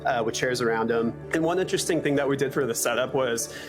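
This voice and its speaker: A man's voice: mid-range voice, fast talker. A man giving a casual interview testimonial as an audio engineer. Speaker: church audio engineer and content producer. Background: background music.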